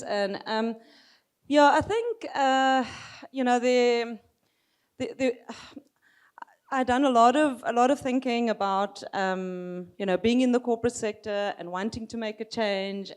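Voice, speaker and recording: A woman with a loud voice speaking on a panel, telling an earnest story and repeating words as she searches for them. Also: medium pitch, clear, resonant tone. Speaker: panelist, nonprofit co-founder.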